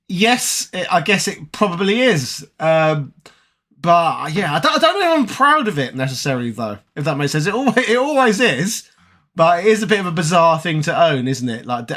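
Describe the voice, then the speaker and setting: A British man with a tired, raspy voice, chatting casually on a live stream and reading viewer comments aloud. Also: fairly high pitch, fairly bright tone. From Britain. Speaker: retro gaming streamer.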